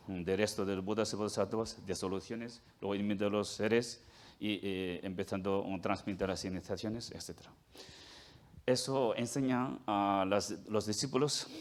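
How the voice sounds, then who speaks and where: Male monk lecturer, very low voice, slow, halting delivery with fillers and throat clearing. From India. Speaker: Tibetan Buddhist monk giving a talk. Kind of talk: lecture at a film forum.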